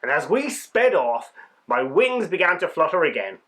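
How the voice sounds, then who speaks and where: A man voicing a small, excitable winged insect telling its adventure in a children's storybook, wide-eyed and dramatic. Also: on the high side, moderate pace. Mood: thrilled. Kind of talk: children's storybook read-aloud.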